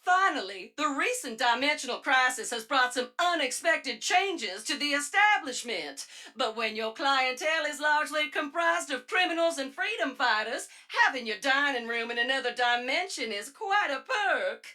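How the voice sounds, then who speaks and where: Video game robot spy guide: female, bright and very animated, theatrical, chirpy narration with a wry edge. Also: high voice, moderate pace. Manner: theatrical, playful, exaggerated delivery. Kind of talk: scripted narration of in-game audio logs.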